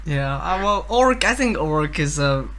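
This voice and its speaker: A man's voice: fairly high pitch, lively intonation, moderate pace, a bit bright. A man co-hosting a podcast, chatting casually and teasing his friends with jokes. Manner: joking, teasing. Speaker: podcast co-host.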